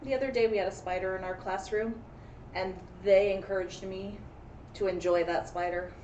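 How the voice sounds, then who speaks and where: A woman teacher reading a story aloud to young children in an expressive, encouraging, dramatic way, with friendly chat in between. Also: mid-range voice, moderate pace, dull audio. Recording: roomy echo, distant mic, faint noise.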